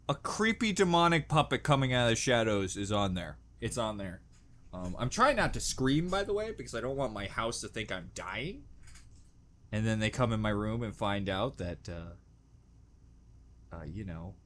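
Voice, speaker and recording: A man's voice: fairly low pitch, lively intonation, normal speed, long pauses, fairly bright tone. A man giving casual reaction commentary, joking crudely and exclaiming in exaggerated squeamishness. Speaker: reaction video host.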